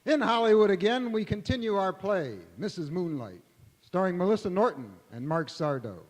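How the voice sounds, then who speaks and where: Man playing animated theatrical radio host. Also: high-pitched, moderate pace. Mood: solemn, dramatic. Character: theatrical old-time Hollywood radio producer host narrating. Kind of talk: 1940s radio drama narration. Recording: echoey hall, long reverb.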